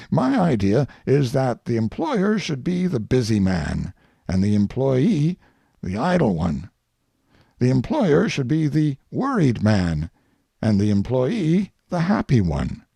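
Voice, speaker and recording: A man reading as a wry, self-satisfied humorist giving an after-dinner speech, droll and deliberate, with oratorical pauses and a gravelly voice. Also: medium pitch, mellow, no echo, heavily acted. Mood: droll, assured.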